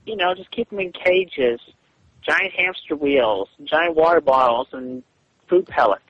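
A man in a halting phone conversation, speaking in a stilted, deliberate way and repeating himself, over a muffled line. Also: a little high, expressive. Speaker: person on a phone call.

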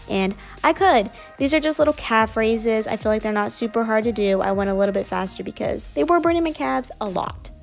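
A young woman narrating her gym workout in a casual, upbeat voice-over. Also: fairly high pitch. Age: young adult. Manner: casual, chatty, upbeat explaining. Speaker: fitness vlogger.

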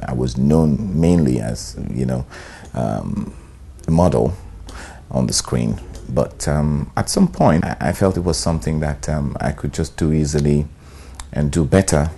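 A middle-aged Nigerian man, an actor, talking in a sit-down interview, relaxed and hesitant, with many "um"s and "you know"s. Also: a bass voice, unhurried, vocal fry, slightly rough. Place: bright studio room, indoors. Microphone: lapel.

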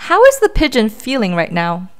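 A woman's voice: medium pitch, huge pitch swings, normal speed, clear, resonant tone. A woman hosting a read-aloud for young children, addressing her listeners directly and asking them questions. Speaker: children's story reader and host.

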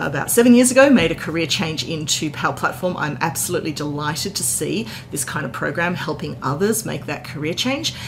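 A woman in her forties giving an enthusiastic, informal explainer recap to camera as a tech presenter, indoors. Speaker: tech content creator, presenter. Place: indoor hotel-style room. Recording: clear background noise with low rumble.

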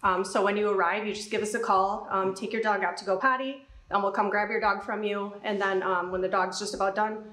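A young woman who owns a grooming salon, answering interview questions casually with many pauses and ums. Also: medium pitch, fairly even intonation, a bit bright, echoey room. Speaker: salon owner. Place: pet grooming salon, indoors.

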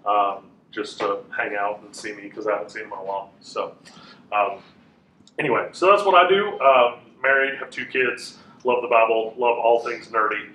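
A man in his thirties teaching a class in a casual, chatty way, with a loud voice that carries and many fillers. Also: fairly low pitch, a little hiss or hum. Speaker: Bible teacher. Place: classroom with a whiteboard, indoors. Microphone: room mic from a fixed camera. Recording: echoey room, voice a bit far off.

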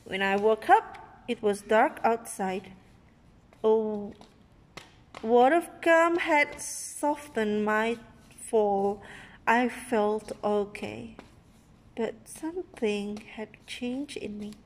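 Slow-reading woman in an echoey room. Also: on the high side. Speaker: read-aloud storyteller. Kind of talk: expressive picture-book read-aloud to a child. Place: indoor room with wooden floor. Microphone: handheld phone near the book. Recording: echoey room, handheld phone recording.

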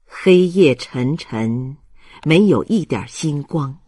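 A man reading a literary audiobook as narrator in a solemn, measured and expressive manner. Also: fairly high pitch.

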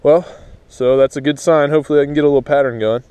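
A man's voice: on the high side, quick pace, smooth, dry recording. A young man casually talking to a head-mounted camera while fishing outdoors by a pond. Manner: relaxed, chatty, thinking aloud while casting. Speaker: fishing vlogger. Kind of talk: casual first-person commentary. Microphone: head-mounted action camera. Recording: windy outdoor action-cam audio. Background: wind noise.

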